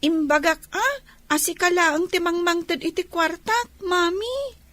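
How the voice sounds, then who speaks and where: A woman's voice: extremely high pitch, unhurried, fairly bright tone, no echo. A woman playing a sweet, innocent little child in a radio drama, with an Ilocano accent. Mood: innocent, puzzled.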